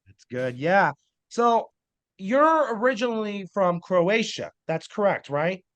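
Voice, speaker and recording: Male podcast host, high pitch, eager, run-on questions. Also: sing-song, slow pace, a bit bright, muffled recording. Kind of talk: casual interview hosting.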